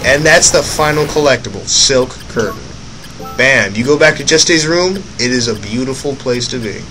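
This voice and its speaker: A man's voice: mid-range voice, animated, moderate pace, poor audio. A young man giving casual, rambling commentary on his own gameplay, often correcting himself mid-sentence. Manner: rambling, self-correcting, offhand asides. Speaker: let's play commentator. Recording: game music loud behind voice. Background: game music.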